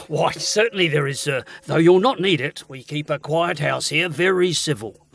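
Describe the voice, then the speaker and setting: An actor playing an obliging, chatty lodging-house keeper, deferential and folksy, in a period gothic audio drama. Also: male voice, fairly high pitch, moderate pace, creaky, a bit bright, no echo, soft background music.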